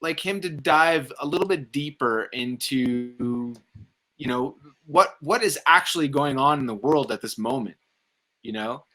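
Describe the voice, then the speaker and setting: A man's voice: medium pitch, unhurried, bright, forceful tone. A man talking casually about movies, opinionated and rambling, with some swearing. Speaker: film review show panelist.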